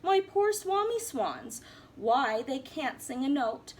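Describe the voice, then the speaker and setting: A woman's voice: sits high, sing-song, slow pace, slight background noise, heavily acted. A woman voicing a grumpy small male forest creature, snapping and scolding.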